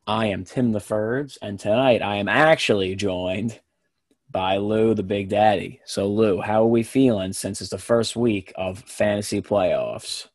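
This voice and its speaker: A young man hosting a casual sports podcast, relaxed and quick to laugh, with plenty of fillers. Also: lowish voice, no room sound, clean studio sound. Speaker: podcast host.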